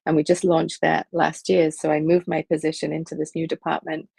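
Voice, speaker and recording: A woman in her fifties, from Liverpool, answering interview questions thoughtfully with frequent pauses and fillers over a video call. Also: low voice, flat delivery, gravelly, dark tone, slightly rough, no room sound. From England, Liverpool. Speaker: film studies professor, guest.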